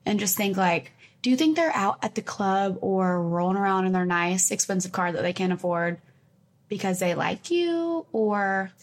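Chatty young woman co-host. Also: medium pitch. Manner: chatty, agreeable, quick interjections. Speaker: podcast co-host. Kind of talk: casual chat.

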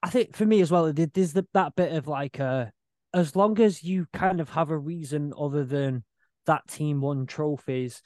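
A man's voice: fairly high pitch, moderate pace, darkish tone, no room sound, clean studio sound. A man appearing as a podcast guest, chatting casually and searching for his words as he goes. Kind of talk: casual chat.

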